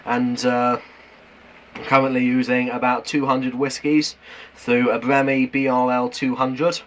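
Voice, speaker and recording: A British man with a mid-pitched voice talks as an amateur CB radio operator. He is matey and a little halting, full of radio jargon, with some noise behind him. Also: little pitch movement. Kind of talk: casual two-way radio chat. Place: at home beside a CB radio set. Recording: noisy room with radio hiss.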